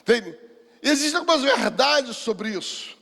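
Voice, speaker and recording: High-pitched older Brazilian man preaching, echoing hall. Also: expressive, a little slow, pushed and bright. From Rio de Janeiro, Brazil. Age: 60s. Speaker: pastor, seminar speaker. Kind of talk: sermon with personal testimony. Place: church hall, speaking to a seminar. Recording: reverberant hall.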